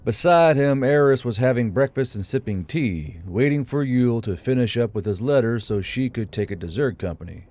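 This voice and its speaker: A man's voice: medium pitch, lively intonation, normal speed, few pauses, darkish tone. A man reading scripted narration for a fantasy audiobook as its narrator.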